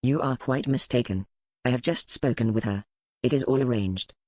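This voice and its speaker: A man's voice: mid-range voice, normal speed, darkish tone, no echo, close to an everyday voice. A male actor voices a menacing nobleman from a historical romance. He is pompous and mocking, addressing others in formal, insinuating phrases. The recording is close and dry. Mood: smug. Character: arrogant, menacing aristocratic English rake, smooth and mocking. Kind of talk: historical romance audiobook character dialogue.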